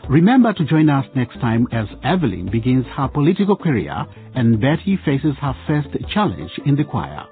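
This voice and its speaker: A man's voice: mid-range voice, very animated, moderate pace, few pauses, phone-quality sound, soft background music. A man narrating a scripted radio drama, addressing listeners as a storyteller.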